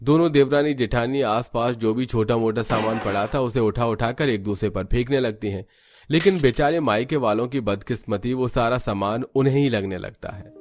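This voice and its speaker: Male narrator, medium pitch, scripted storytelling, animated family tale. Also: moderate pace, faint music behind.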